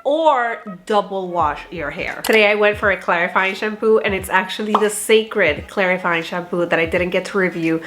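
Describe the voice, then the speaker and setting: A woman's voice: medium pitch, normal speed, few pauses, background noise. A young woman with a nasal, congested voice, chatting slangily and casually as she walks through her hair routine. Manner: chatty, slangy, animated with swearing and laughs. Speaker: beauty vlogger. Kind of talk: casual hair tutorial vlog.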